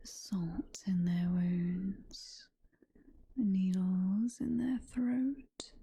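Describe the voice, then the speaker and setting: A woman's voice: medium pitch, steady tone, dark tone, slightly rough, no echo, full character voice. A British woman playing a doting, possessive demon girlfriend in an intimate ASMR roleplay, whispering and cooing in a motherly way. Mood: softly menacing. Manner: whispered, slow, intimate cooing with pet names. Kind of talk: soft-spoken ASMR roleplay.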